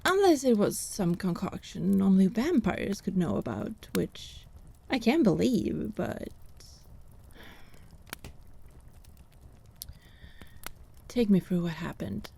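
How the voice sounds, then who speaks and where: Woman acting a slow, wry fantasy healer woman. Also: medium pitch, sing-song, vocal fry, mellow, breathy edge, heavily acted. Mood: probing, thoughtful. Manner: arch, teasing, theatrical. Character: wry, haughty female country healer, sardonic and inquisitive. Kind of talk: fantasy audio drama acting.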